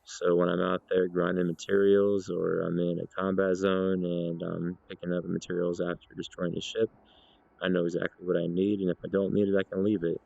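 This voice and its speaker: A man narrating an informal software demonstration in a measured, explanatory way. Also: low-pitched, flat delivery, creaky. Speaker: gaming video creator demonstrating software. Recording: dull lo-fi voice recording.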